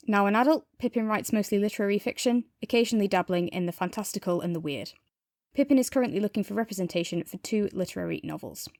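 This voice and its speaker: A woman's voice: medium pitch, a little mellow, no echo, studio quality. A woman co-hosting a review podcast, speaking in an enthusiastic, conversational way. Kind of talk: casual review chat, some reading aloud.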